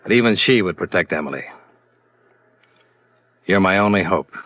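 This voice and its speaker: An actor in a 1950s radio drama playing a blunt, hard-boiled insurance investigator who talks in a terse, matter-of-fact way. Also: male voice, low voice, animated, normal speed, no room sound. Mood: pressing, blunt. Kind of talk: 1950s radio detective acting. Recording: dull vintage radio recording.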